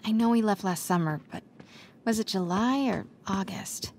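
A woman's voice: medium pitch, moderate pace, darkish tone, a little hiss or hum, close to an everyday voice. A woman voicing a determined young reporter in a video game, murmuring to herself and often dropping to a whisper as she sneaks. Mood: focused. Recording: background music under the voice.

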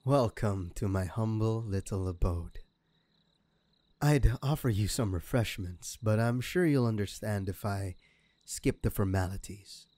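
Male crime villain, acted by a man, low mellow voice, smug menace, mocking, theatrical, audio roleplay. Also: no room sound, close to an everyday voice. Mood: smug, courteous. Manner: mocking, theatrical, drawn-out delivery. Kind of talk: scripted ASMR audio roleplay.

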